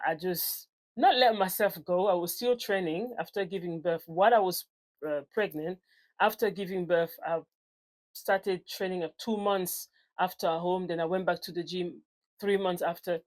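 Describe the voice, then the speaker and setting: A woman in her forties speaks English with a French accent that carries African influence. Her voice sits a little low, and she talks in a loose, unscripted way, full of fillers and quick self-corrections. She sounds like a personal trainer chatting straight to her viewers. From France. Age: 40s. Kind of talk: casual talk to camera.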